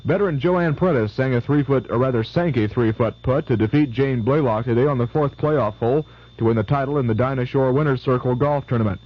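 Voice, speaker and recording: A man reading the sports results and weather forecast on radio news in a brisk, even way. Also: medium pitch. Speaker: radio newscaster. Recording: lo-fi 1970s AM radio.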